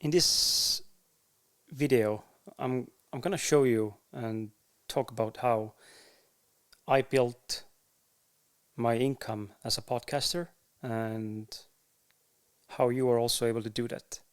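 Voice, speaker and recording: A man with an Estonian accent, a podcaster speaking to camera in a candid, unhurried way, with frequent pauses to find his words. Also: mid-range voice, steady tone. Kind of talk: casual talk to camera.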